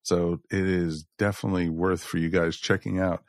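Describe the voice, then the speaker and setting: A man's voice: low-pitched, flat delivery, moderate pace, dry recording, studio quality. A man hosting a podcast, chatting casually and addressing listeners in a folksy way. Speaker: podcast host.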